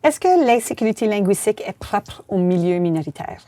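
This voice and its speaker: A woman's voice: medium pitch, sing-song, fairly quick, mellow, no room sound. A Franco-Manitoban woman host chatting frankly in an interview, with many fillers. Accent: Franco-Manitoban French. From Manitoba, Canada. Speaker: host and columnist. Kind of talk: casual interview conversation.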